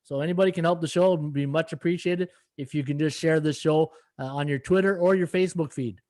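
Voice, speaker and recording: A slightly hoarse middle-aged man hosting a live sports betting podcast, rambling through stats and viewer comments with many fillers. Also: a little high, fairly even intonation, fast, darkish tone. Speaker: podcast host and sports handicapper. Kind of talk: casual live-stream betting analysis.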